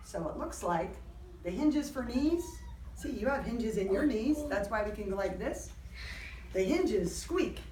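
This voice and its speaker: Higher-pitched woman reading aloud, slow and lively. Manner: animated, encouraging, acts out sound effects; simple explanations and questions for small children. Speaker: teacher reading aloud to a kindergarten class. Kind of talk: interactive picture-book read-aloud to young children. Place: classroom with young children. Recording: roomy, distant mic, faint background noise.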